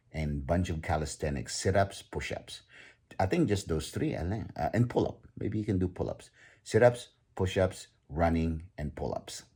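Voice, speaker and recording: A man around fifty talking casually on his video blog, halting and full of ums and restarts. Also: low voice, darkish tone, breathy edge. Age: 50s. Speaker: vlogger. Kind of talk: casual vlog talk.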